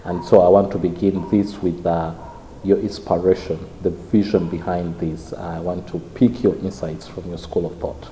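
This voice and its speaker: A man's voice: low-pitched, flat delivery, normal speed, warm tone. A man interviewing a guest, asking long, formal questions with fillers. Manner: formal, wordy phrasing with fillers. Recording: echoey hall with faint noise.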